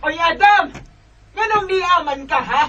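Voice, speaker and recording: A woman's voice: extremely high pitch, unhurried, lo-fi sound, full character voice. An actress playing a scolding, disapproving mother of the bride in a radio drama, nagging and indignant. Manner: nagging, indignant, sharp-tongued. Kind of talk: Filipino radio drama acting.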